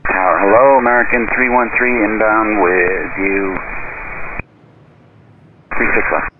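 Guy pilot talking to atc, low-ish voice, radio with clear hiss and static. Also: fairly even intonation, measured pace. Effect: aviation radio. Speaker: airline pilot. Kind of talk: brief radio readbacks. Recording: noisy, narrow cockpit radio transmission.

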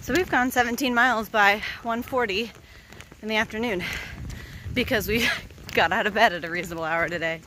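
A woman's voice: mid-range voice, a little fast, noisy background. A woman vlogging from a hiking trail, chatting casually to the camera outdoors.

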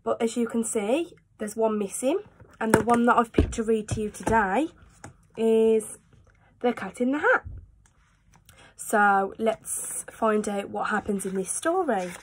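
A woman's voice: fairly high pitch, unhurried, slight background noise, poor audio. A woman in her twenties reading a children's storybook aloud like a teacher to pupils. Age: 20s. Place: small room at home, indoors. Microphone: phone at arm's length.

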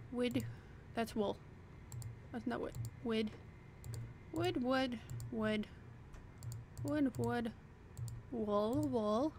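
A woman's voice: high voice, a little hiss or hum. Chatty young-sounding woman narrating her gameplay. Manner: chatty, self-deprecating, talks to herself while sorting items. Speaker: YouTuber playing a block-building game. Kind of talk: casual gameplay chatter to the camera. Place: home desk. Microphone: desk microphone at a computer.

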